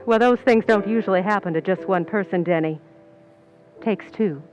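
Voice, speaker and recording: An actress plays a calculating, ambitious young woman from Hollywood's fringes in a vintage-style radio thriller. Her delivery is hard-boiled and knowing, at a middling pitch for a woman. Music sits underneath the recording. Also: moderate pace, a little mellow, highs cut off, lightly acted. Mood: guarded, coaxing. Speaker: actress in a community playhouse radio play. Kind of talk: old-time radio suspense acting with first-person narration.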